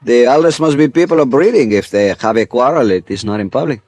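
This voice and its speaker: An actor playing a genial, sly Venezuelan police chief with a Spanish accent and a teasing, drawn-out delivery in an old-time radio drama. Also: male voice, medium pitch, darkish tone, dry recording, natural voice. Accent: Spanish-accented English.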